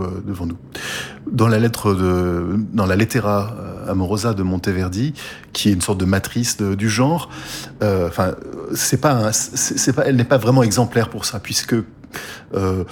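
A male guest on a cultural interview who explains his ideas in a halting way, with many hesitations and restarts. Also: lowish voice, slight background noise. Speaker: guest musician.

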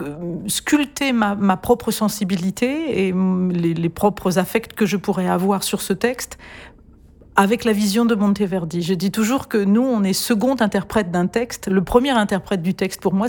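A woman's voice: medium pitch, moderate pace, a little mellow. A female singer in an interview who talks thoughtfully and articulately, with some hesitations. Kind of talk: interview explanation.

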